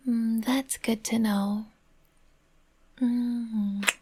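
A woman whispering softly and soothingly, speaking as a comforting partner in a roleplay. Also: fairly high pitch, steady tone, very slow, slight background noise. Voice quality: breathy.

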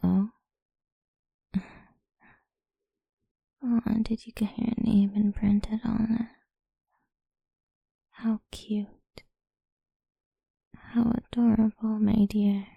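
A woman speaking in a soft, slow, coaxing whisper for a close-mic ASMR roleplay. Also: medium pitch, flat delivery, gravelly, breathy edge, no echo, lo-fi sound.

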